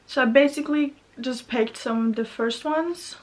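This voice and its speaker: A woman's voice: sits high, moderate pace. A young woman from Norway with a Norwegian accent, rambling in a casual chat from her bedroom, full of fillers and asides. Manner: chatty, rambling, many fillers. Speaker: vlogger.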